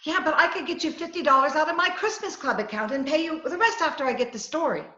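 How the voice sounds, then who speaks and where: A woman's voice: sits high, fast talker. A woman acting a plucky, headstrong, scatterbrained lady reporter in a 1940s-style radio comedy, bossy and theatrical. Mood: haggling. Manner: bossy, excitable, theatrical delivery. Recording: roomy, muffled, faintly noisy video-call recording.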